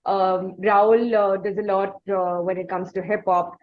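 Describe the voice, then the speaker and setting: A woman's voice: medium pitch, level tone, moderate pace. Indian woman interviewer, slightly dull audio. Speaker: interviewer, podcast host. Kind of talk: music interview, long conversational questions.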